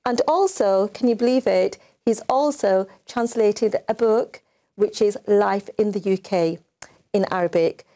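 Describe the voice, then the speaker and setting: A middle-aged woman hosts a live TV talk show from a studio. Her voice is mellow and warm, mid-pitched, and her talk is chatty, full of "you know" and "um". She introduces and chats with her guest. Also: unhurried. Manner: chatty, filler-heavy. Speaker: TV presenter. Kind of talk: live TV interview.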